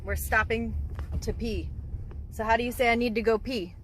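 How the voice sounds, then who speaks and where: Woman, teacherly family vlogger, fairly high pitch, coaching phrases casually; clearly noisy car and outdoor recording. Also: steady tone, normal speed. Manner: teacherly, prompts and repeats phrases. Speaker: mother filming a family language-learning vlog.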